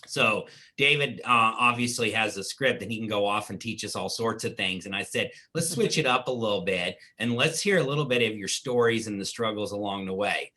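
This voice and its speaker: A man's voice: on the low side, brisk, few pauses, pushed and bright. A man casually hosting a team meeting and interviewing a guest.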